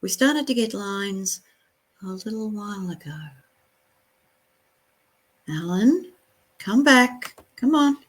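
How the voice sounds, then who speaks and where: A woman's voice: medium pitch, expressive, slow pace, long pauses, low-quality recording. A woman giving critical, disapproving spoken commentary as the host of a reaction video, with blunt interjections.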